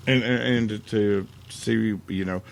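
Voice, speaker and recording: A man, co-hosting a podcast, speaking haltingly with many fillers in casual chat. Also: fairly low pitch, fairly even intonation, gravelly. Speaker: podcast co-host.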